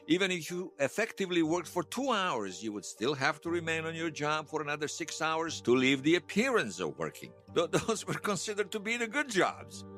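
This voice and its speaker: A man's voice: on the high side, fairly bright tone. An actor playing a nostalgic, wryly amused man recalling his past conversationally in an interview-style audio drama. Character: nostalgic man recalling lost love in a future world.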